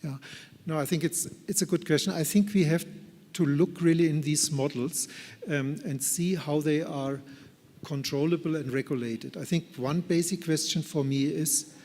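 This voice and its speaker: A man with a somewhat high voice responds to questions at a conference. He speaks earnestly and deliberately, weighing his phrasing, and his voice carries the long reverberation of a large hall. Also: steady tone, a little mellow. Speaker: plenary speaker answering audience questions. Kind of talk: conference question-and-answer discussion.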